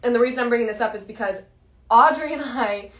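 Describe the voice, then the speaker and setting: A young woman, the host's daughter, chatting casually and telling animated stories full of "like" and "literally.". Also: fairly high pitch, fast, reverberant, noisy background. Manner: animated storyteller, says "like" and "literally" often. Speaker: co-host, daughter of the host. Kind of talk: casual chat.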